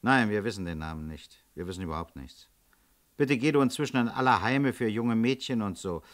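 An actor playing a calm, pensive police chief inspector, terse and commanding, in a German radio crime drama. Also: male voice, on the low side, brisk, lightly acted. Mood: pensive, decisive.

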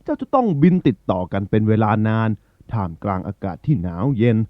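Man playing a lively eagle king of birds. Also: fairly low pitch, fast, no room sound, dull audio. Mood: concerned. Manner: commanding, addressing a gathering. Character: male eagle ruler of the birds, authoritative yet caring. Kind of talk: acted children's storybook.

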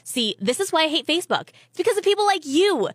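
Woman acting an animated, indignant, scolding friend. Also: a little high, a little slow, husky, no room sound, studio quality, full character voice. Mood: exasperated, scolding. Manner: sarcastic, indignant, emphatic. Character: exasperated, scolding woman friend on social media.

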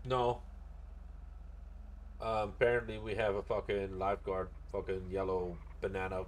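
A man's voice: deep voice, level tone, normal speed. A man in his thirties on a headset, bantering casually and bluntly with plenty of swearing as he streams a roleplay game. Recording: clearly noisy, game ambience behind.

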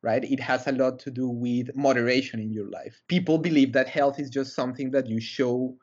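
A man's voice: medium pitch, fairly even intonation, fairly quick, a little mellow, dull audio. A young man from Colombia, a guest on a video-call interview, talking earnestly with many fillers and restarts. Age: young adult. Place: small room indoors. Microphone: headset on a video call.

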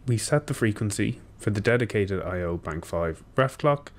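A young man giving a technical walkthrough, steady and explanatory with occasional ums and self-corrections, recorded at a desk in a small room. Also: on the low side, moderate pace. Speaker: engineer presenting a tutorial. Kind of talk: technical walkthrough over screen share.

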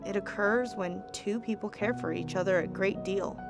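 A woman acts out a concerned doctor in an audio drama. She speaks earnestly and articulately, with firm principle. Music plays clearly underneath. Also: medium pitch, fairly even intonation, moderate pace, lightly acted. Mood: tender. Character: principled, concerned female doctor, insistent yet tender.

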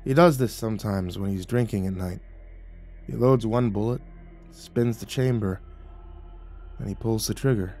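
A solemn male ancestor spirit as acted out by a male storyteller speaks with quiet gravity and a dry, teasing streak. He is an audiobook figure, wise and unhurried in temper. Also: mid-range voice, animated, soft background music, natural voice. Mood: grave.

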